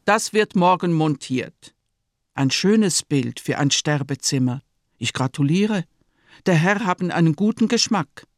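A man's voice: on the high side, lively intonation, measured pace, no room sound, clean studio sound. A man playing a massive, pious, smugly blunt nurse in a German radio drama.

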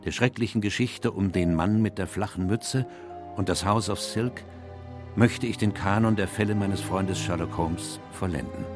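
An actor playing a reflective, loyal elderly doctor narrating his memoirs in a measured, literary way in a radio play. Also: deep voice. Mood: mournful. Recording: music under the voice.

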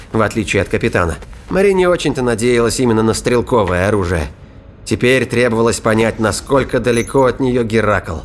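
A man's voice: fairly low pitch, a little hiss or hum. A man reading scripted narration for a science fiction thriller audio drama. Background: music and sound effects.

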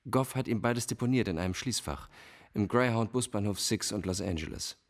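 Manipulative male doctor villain , played by an actor, low register, courteous, controlled, for a radio thriller. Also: a little fast, lightly acted. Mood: feigned concern. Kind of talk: radio crime drama acting.

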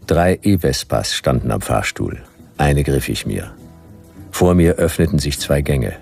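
A man's voice: very deep voice, expressive, unhurried, creaky, a little mellow, slightly rough, faint music behind, heavily acted. A man playing a hard-boiled private detective, narrating a radio play in dry, clipped first-person sentences. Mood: dry, wry.

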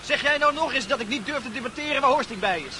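An actor playing a jealous, combative school friend in a radio drama, speaking in an accusing, needling manner. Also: male voice, extremely high pitch, animated, fast talker, sharp tone, a little hiss or hum, heavily acted. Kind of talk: Dutch radio drama acting.

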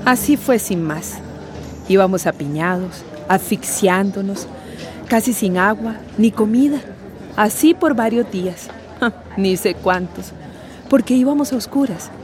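A woman's voice: medium pitch, lively intonation, a little slow, music in the background, lightly acted. A woman acting a weary, plain-spoken working-class migrant in a radio drama, telling of her ordeal. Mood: weary, sad. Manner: plain-spoken, colloquial storytelling.

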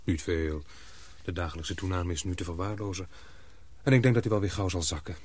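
An actor playing a calm, devoted young man in a radio play, speaking in a reflective, restrained way. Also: low-pitched, fairly quick. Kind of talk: radio drama acting.

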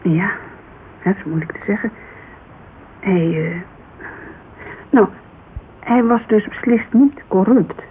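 A woman's voice: medium pitch, slow pace, pauses often, close to an everyday voice. An actress playing a guarded, candid agency owner in her thirties in a naturalistic Dutch radio drama, speaking colloquially and searching for words. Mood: earnest. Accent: Dutch, Netherlands. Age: 30s. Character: thirty-three-year-old Amsterdam model agency owner, guarded, wistful, candid. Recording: narrow, hissy old radio recording.

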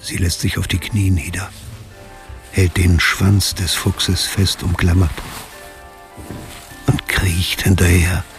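A man narrating a radio drama as a calm, observant storyteller, measured and sober. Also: sits low, soft background music. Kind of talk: scripted present-tense radio drama narration.

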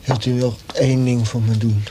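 An actor playing a wary, weary condemned male prisoner in a radio play, halting and quietly defiant. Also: medium pitch, flat delivery, background noise, close to an everyday voice. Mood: quiet, pleading. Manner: halting, pleading, dwelling on words.

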